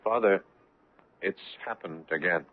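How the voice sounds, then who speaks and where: An actor playing a lovestruck, anxious young bridegroom, husky-voiced, acting and narrating in a 1970s radio drama. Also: male voice, low-pitched, measured pace. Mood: agitated. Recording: muffled, phone-like vintage radio broadcast.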